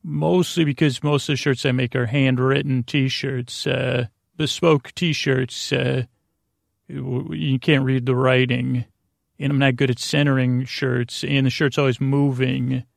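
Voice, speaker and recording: Creaky, meandering male sleep-podcast host. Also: mid-range voice, flat delivery, dry recording, clean studio sound. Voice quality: creaky, lulling voice. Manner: meandering, halting, stammering, self-interrupting. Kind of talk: rambling bedtime sleep-podcast monologue.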